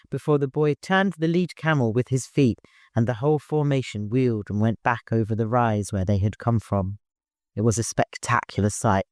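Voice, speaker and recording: A man's voice: mid-range voice, no room sound, studio quality. A man narrating a scripted story as an audiobook reader.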